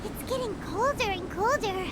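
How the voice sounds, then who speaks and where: A woman voicing a tiny, chirpy, excitable childlike pixie companion in an anime video game, with a squeaky voice. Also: moderate pace, heavy background noise. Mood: curious. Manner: excitable, speaks of self in third person. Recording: loud game music behind the voice.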